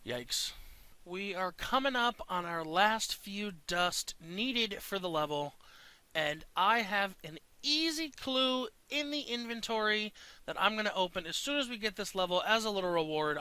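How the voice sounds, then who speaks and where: Bright-voiced, congested man doing gameplay commentary. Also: sits high, clear, resonant tone, no echo. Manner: rambling, slangy, occasional swearing. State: congested from dust. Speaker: gaming video creator.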